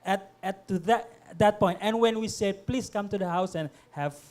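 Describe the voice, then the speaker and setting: A middle-aged man from Indonesia, a guest on a panel talk, speaking haltingly with many fillers and restarts. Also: high voice, a little mellow. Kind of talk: panel conversation.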